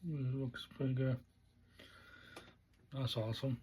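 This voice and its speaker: Male vlog host, unpolished and casual, slow delivery in broken phrases, some background noise. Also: medium pitch, little pitch movement, dark tone. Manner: halting, short fragmented phrases. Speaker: unboxing video host.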